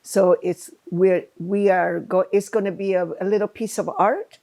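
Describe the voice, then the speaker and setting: Gravelly woman guest, emphatic. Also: mid-range voice, steady tone, normal speed, mellow. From Chile. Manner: emphatic, speaks in short bursts. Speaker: guest, program founder. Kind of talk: conversational interview.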